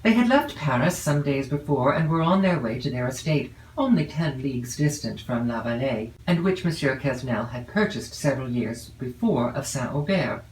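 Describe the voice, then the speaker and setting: A woman reading a classic novel as an audiobook narrator, in a measured, formal way with deliberate phrasing. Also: extremely low pitch, lively intonation, darkish tone. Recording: roomy, echoey home recording.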